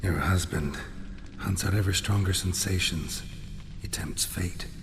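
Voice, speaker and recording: A gruff fantasy game monster hunter, with a very low, restrained voice. He speaks in terse, measured phrases, matter-of-fact and guarded, over noisy ambience. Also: fairly even intonation. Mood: flat, matter-of-fact. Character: gruff, stoic adult male monster hunter for hire. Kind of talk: cinematic fantasy game acting.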